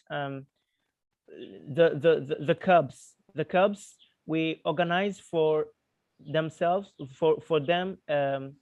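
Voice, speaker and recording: Slow-spoken young scout leader from Burundi. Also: male voice, fairly high pitch, clear, resonant tone, dry recording. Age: 20s. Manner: halting, many restarts and fillers. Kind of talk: casual chat. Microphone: video call. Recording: dull lo-fi call audio.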